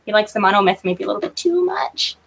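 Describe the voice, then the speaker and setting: A woman's voice: mid-range voice, very animated, fast talker, gravelly, fairly bright tone, husky. A woman chatting casually as a guest author in an interview, informal and self-deprecating in manner.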